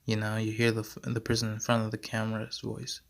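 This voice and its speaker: Hoarse young man rambling on his podcast. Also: lowish voice, completely flat delivery, fairly quick. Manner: slangy, repeats himself, many fillers. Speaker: podcast host. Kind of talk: casual rambling monologue.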